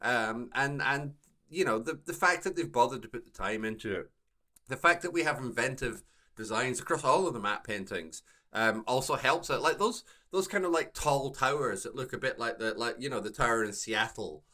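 A man co-hosting a podcast in casual discussion, speaking in a halting way and circling back over his phrases. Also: medium pitch, animated, pushed and bright. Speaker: podcast co-host.

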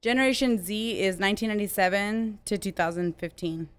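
A woman in her late twenties speaks in casual podcast chat. Her talk is full of "like" and she laughs easily. Her pitch sits in an ordinary middle range. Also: brisk. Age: 20s. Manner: chatty, laughs easily, lots of "like". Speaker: podcast co-host.

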